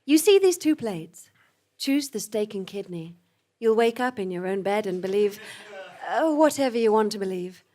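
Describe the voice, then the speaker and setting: A woman's voice: mid-range voice, animated, moderate pace, mellow, lightly acted. A woman playing an exasperated, urgent hacker informant in a comic audio drama, weary and portentous.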